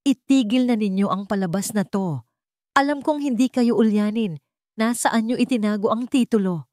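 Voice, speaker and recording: A sharp-tongued young wife, high-pitched and worked up, as a woman narrator puts her on. She snaps with barely held patience, in a dry, close storybook reading. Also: moderate pace, no echo, lightly acted. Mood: furious. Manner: sharp, exasperated, shouting. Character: angry young wife, impatient and scheming. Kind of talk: storybook narration with acted dialogue.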